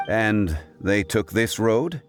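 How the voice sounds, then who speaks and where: A man playing a composed, scholarly gentleman in an anime-style game, speaking in a formal, measured and eloquent way. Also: lowish voice, animated, faint music behind.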